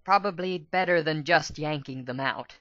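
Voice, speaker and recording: A man's voice: on the high side, pushed and bright, no echo, highs cut off. A man voicing a sheepish, hesitant small female pegasus pony in a dramatic reading. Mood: sheepish, unsure. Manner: hesitant, halting.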